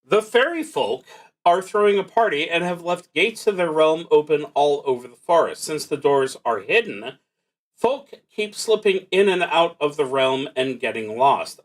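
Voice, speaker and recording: A man narrating a solo tabletop game, reading cards aloud and thinking out loud in a casual, wry way. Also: fairly high pitch, animated, moderate pace, poor audio. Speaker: solo roleplaying game streamer.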